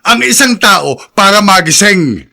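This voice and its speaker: A man's voice: high voice, big pitch swings, a little slow, creaky, sharp tone, exaggerated voice. An actor playing a stern, hot-tempered middle-aged father in Filipino radio drama, domineering and scolding. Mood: indignant, stern.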